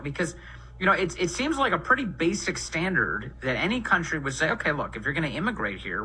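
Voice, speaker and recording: A man hosting a talk radio show, interviewing a guest in an indignant, rapid-fire manner. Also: fairly high pitch, sharp tone, poor audio.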